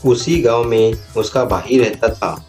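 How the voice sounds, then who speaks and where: A man's voice: medium pitch, a little slow, darkish tone. A man narrating a scripted moral story as its storyteller. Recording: music bed behind the voice.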